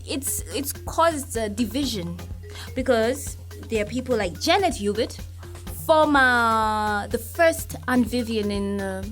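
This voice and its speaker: A woman's voice: a little high, animated, takes it slowly. A woman hosting a casual entertainment discussion in a conversational, opinionated way. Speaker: talk show host. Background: music playing behind the voice.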